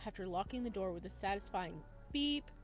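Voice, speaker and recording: A woman reading a visual novel aloud as a let's player, mixing expressive narration with casual reactions. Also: medium pitch, brisk, faint music behind.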